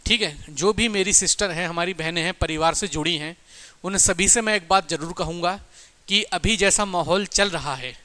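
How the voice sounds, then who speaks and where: A man's voice: high-pitched, sharp tone. A man giving an informal motivational exam-coaching talk in an emphatic, repetitive, exhorting manner. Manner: emphatic, repetitive, exhorting, addressing listeners as brothers and friends.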